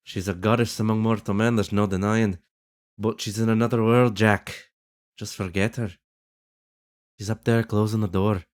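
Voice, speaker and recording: A man's voice: fairly low pitch, flat delivery, moderate pace, pauses often, a little mellow, natural voice. A man playing a blunt, skeptical young Irish steerage passenger in an audio drama. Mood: blunt, dismissive. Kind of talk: fan audio drama acting.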